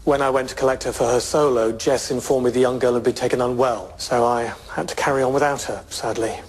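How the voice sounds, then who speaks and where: An actor with an English accent playing a polite music teacher, speaking formally and carefully in an audio drama. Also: male voice, medium pitch, fairly even intonation, a little fast, slightly rough, lightly acted. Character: polite, measured male school music teacher. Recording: faint background ambience.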